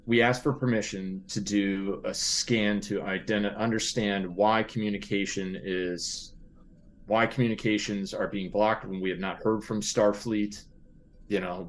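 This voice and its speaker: Low-voiced man, halting and noisy in the background. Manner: halting, thinking aloud. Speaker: player in a tabletop role-playing game. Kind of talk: casual in-game planning talk.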